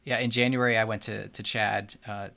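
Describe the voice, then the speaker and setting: A man's voice: on the low side, flat delivery, normal speed, creaky, sharp tone, faint music behind. A man speaking as a podcast host in a casual, conversational interview style.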